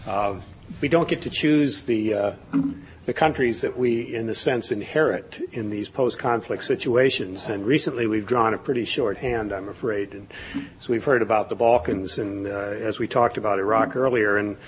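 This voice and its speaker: A man's voice: fairly low pitch, normal speed, slight background noise, low-quality recording. A man who is a diplomat speaking on a conference panel in a conversational way, with light asides. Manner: conversational, self-deprecating asides.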